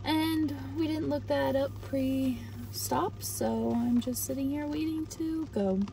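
A woman's voice: sits high, takes it slowly, gravelly, mellow, a little hiss or hum. A young woman in her twenties chatting casually with her husband inside a car at night for their travel vlog. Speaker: co-host.

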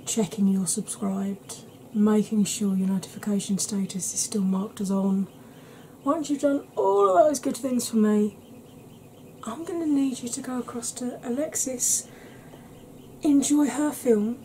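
A woman in her thirties chatting to camera at home in a soft ASMR style, rambling and often dropping into whispers. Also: on the high side, a little slow, a little hiss or hum, low-quality recording. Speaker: beauty vlogger. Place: small cluttered room at home.

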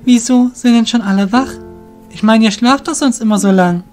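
An eager young apprentice of a shadow guild, acted by a man in a fantasy radio play. The voice is high, light and youthful, with an earnest, polite way of talking. Music plays underneath. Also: normal speed, a little mellow. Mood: puzzled. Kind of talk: amateur fantasy audio drama acting.

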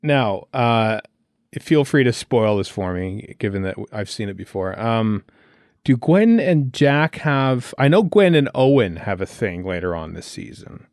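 Low-voiced man in casual, close, dry sound. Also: sing-song, a little slow, a little mellow, no echo. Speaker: podcast host. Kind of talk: casual chat, TV episode commentary.

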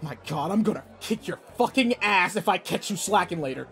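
A man's voice: sits high, animated, a little fast, fairly bright tone, soft background music. A man playing a brusque, anxious young student bully who secretly cares, in an audio roleplay drama with emotional delivery. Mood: flustered, frantic.

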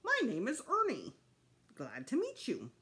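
A woman's voice: on the high side, huge pitch swings, a little slow, pushed and bright, voice pushed up, exaggerated voice. A woman voicing a playful, silly four-year-old boy in a chirpy, sing-song manner for a storybook.

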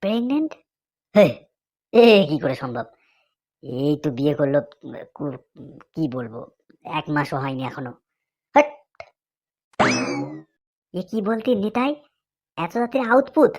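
A woman's voice: low-pitched, sing-song, a little slow, long pauses, darkish tone, full character voice. A woman voicing a bossy, chatty male friend in a comic cartoon, given to exclamations. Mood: astonished.

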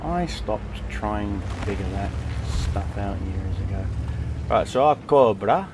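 A lively, jokey man with a Yorkshire accent talks over a tank game, his low voice swinging up and down as he hums and teases other players. Game sounds run clearly under him. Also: slow pace, very noisy. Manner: chatty, jokey, sings and hums along. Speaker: gaming streamer. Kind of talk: casual tank game commentary.

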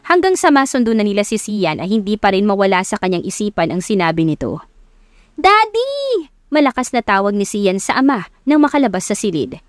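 A woman's voice: medium pitch, very animated, fast talker, dry recording, studio quality. A woman with a Filipino accent reading scripted narration for a romance audiobook. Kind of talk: scripted narration of a Tagalog love story.

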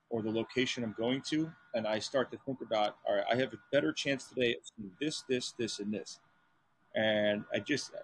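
A man's voice: slight background noise, low-quality recording. A man, talking animatedly on a video call from home, stumbling and restarting as his voice jumps up. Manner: animated, restarts, pitch jumps up when stressing. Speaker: birding podcast co-host. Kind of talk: casual chat. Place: home room with painting. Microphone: video call webcam.

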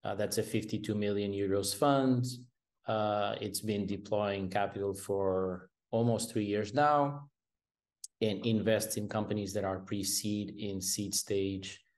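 Low-voiced man on a video call. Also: steady tone, unhurried, a little mellow. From Portugal. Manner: hesitant, many restarts and fillers. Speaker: venture capital guest. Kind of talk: interview over video call. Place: home room with painting behind.

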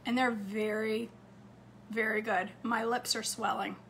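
Cheery lady in her 40s talking into her phone in the kitchen, bit hoarse, highish voice. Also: level tone, a little slow, a bit bright, slight background noise. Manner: chatty, upbeat, talks straight to camera. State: slightly hoarse. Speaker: cooking vlogger. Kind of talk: casual chat to viewers. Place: home kitchen, indoors. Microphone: phone camera close up on a counter.

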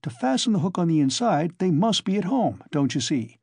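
A man voicing a cheerful, quick-witted young man in an audiobook, ringing and insistent. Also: high-pitched, big pitch swings, vocal fry, a little mellow, husky, no echo, clean studio sound, voice pushed up, full character voice. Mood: urgent, insistent.

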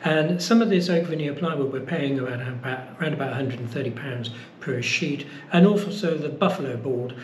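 A man's voice: medium pitch, fairly quick, hardly any pauses. A man, casually explaining costs to camera with plenty of fillers. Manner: relaxed, explaining with many uh fillers. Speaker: boat-building vlogger. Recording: echoey room with faint noise.

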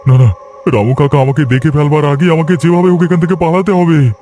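A man's voice: a little high, steady tone, a little fast, dark tone, faint music behind, natural voice. A man voicing a timid, anxious young man in a horror cartoon, nervous and pleading.

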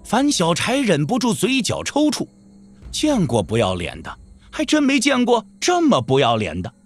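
Animated male wuxia narrator. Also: high-pitched, moderate pace, faint music behind. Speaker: narrator of an audio drama. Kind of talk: dramatic scripted narration of a wuxia novel.

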